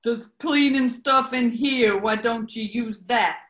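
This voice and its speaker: A woman plays a shrewd, well-mannered gangster's heavy in a 1940s radio comedy. The delivery is very slow and deliberate, fairly high for the part. The room echoes noticeably. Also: fairly bright tone. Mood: suspicious. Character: polite but shrewd male gangster henchman.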